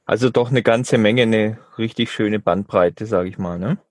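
A man hosting an interview podcast, asking friendly, measured questions. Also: fairly low pitch, no room sound, clean studio sound.

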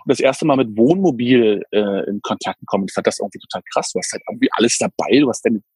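A man's voice: fairly low pitch, fast talker, darkish tone, husky, no room sound. A man, chatting as a podcast guest, rambling with lots of fillers and restarts. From Berlin, Germany. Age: 30s. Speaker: podcast guest, camper van renter. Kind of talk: casual interview chat.

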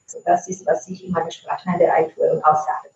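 A woman answers interview questions, thoughtful and explanatory, with frequent hesitant fillers. Her voice is mellow and dark-toned. She sounds set back from the microphone in a roomy space, through a dull, lo-fi connection. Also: sits low, flat delivery, fast talker, creaky, distant mic, a little hiss or hum. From Italy. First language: Italian. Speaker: translator and trainer, interview guest.

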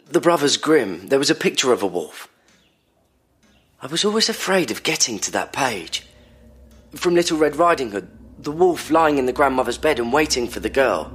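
An actor playing an anxious, earnest psychoanalysis patient in an audio drama, telling things in a halting, haunted way. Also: male voice, medium pitch, sing-song, a bit bright. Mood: fearful, remembering. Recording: clear music behind voice.

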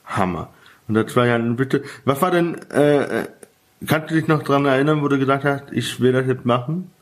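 A male host runs a casual interview and asks his guest questions. His pitch is average and his speech is halting, stopping and starting with frequent filler sounds.

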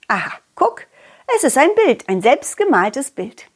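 A woman voicing a confident, loyal, cheerful dog who tells stories to children in an excitable, childlike way, as in an audio play. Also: sits high, measured pace, breathy edge, full character voice. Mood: relieved, curious. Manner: excitable, childlike, speaking directly to child listeners. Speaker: puppeteer and storyteller.

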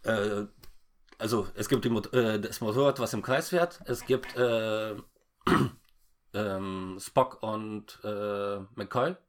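Male co-host, slightly low voice, unscripted chat, stumbles and restarts often. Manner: halting, stammering, frequent false starts. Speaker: podcast co-host recapping a film. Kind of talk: casual unscripted plot recap.

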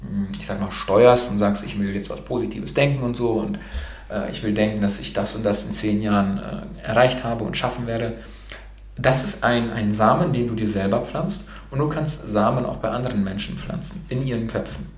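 A man's voice: on the low side, fairly even intonation, normal speed. Man, rolled R, Romanian-born and raised in Germany, bilingual, casual frank talk, podcast host, echoey room. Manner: frank, with casual swearing and many fillers. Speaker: podcast host being interviewed.

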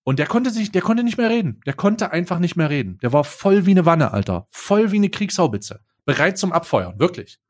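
A young man tells a wild story on a podcast, animated and slangy, tripping over his own restarts. His voice sits a little higher than most men's. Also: fairly quick, hardly any pauses. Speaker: podcast co-host. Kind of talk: casual storytelling.

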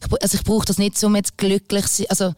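A woman's voice: mid-range voice, monotone, quick pace, darkish tone, no room sound, studio quality. A woman with a Swiss German accent, a singer guest talking candidly and rambling a little in a casual interview. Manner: candid, rambling, frequent fillers.

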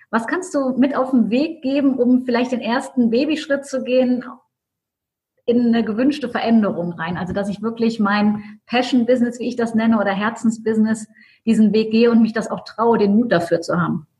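A woman hosts a business podcast with an effusive, enthusiastic manner. Her voice sits on the higher side. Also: a little mellow. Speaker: podcast host. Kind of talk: podcast interview.

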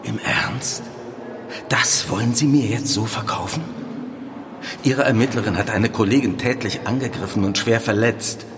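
An actor playing a stern, irritated police superior in a fiction podcast, brusque and accusatory. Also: male voice, fairly low pitch, normal speed, over music, natural voice. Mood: angry, accusing. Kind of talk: naturalistic fiction podcast acting in German. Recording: reverberant room with clear background noise.

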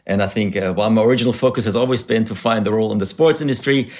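A man in his thirties from Germany with a German accent, explaining things in a measured way as a podcast guest. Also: on the low side, darkish tone. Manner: measured, explanatory, uses you know. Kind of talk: podcast interview.

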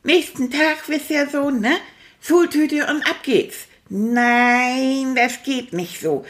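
A woman's voice: high-pitched, slow pace. Manfred, a chatty toy rat voiced by a woman. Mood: annoyed. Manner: excitable, impatient, chatters on, stretches words. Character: boastful chatty male toy rat, childlike. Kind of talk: children's audio show monologue.

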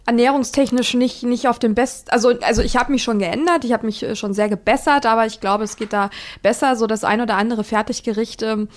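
A woman's voice: a little high, steady tone, brisk, few pauses. A woman presenter chatting casually, repeating words as she talks. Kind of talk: casual chat.

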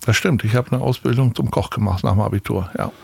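A man's voice: fairly low pitch, fast, gravelly, darkish tone, husky. A man in his sixties from Berlin, a guest telling chatty stories in an interview, with many fillers and restarts. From Berlin, Germany. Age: 60s. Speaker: arena manager, guest. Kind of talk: relaxed interview talk.

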